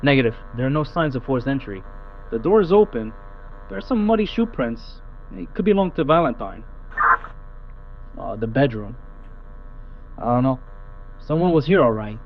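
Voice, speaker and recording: A man's voice: medium pitch, very animated, moderate pace, pauses often. A man playing a procedural patrol officer reporting a scene to dispatch over police radio, clipped and matter-of-fact. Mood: official, matter-of-fact. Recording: muffled police radio, humming static noise.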